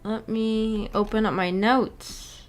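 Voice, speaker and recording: Woman streamer, slightly high voice. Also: flat delivery, slow, soft background music. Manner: giggly, hesitant, repeats words. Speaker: streamer hosting a book club discussion. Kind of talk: casual livestream chat, reading and answering chat.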